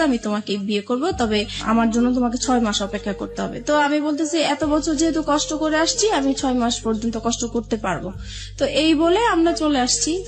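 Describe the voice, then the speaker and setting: A woman with a Bangladeshi accent telling her own life story as an interview guest, often retelling conversations as she goes. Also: sits high. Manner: recounts events with reported dialogue.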